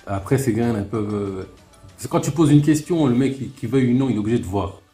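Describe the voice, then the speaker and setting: A man's voice: mid-range voice, animated, quick pace, warm tone, faint music behind. A man hosting a live online talk, chatting casually, laughing often and restarting his sentences. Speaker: host of live debate stream.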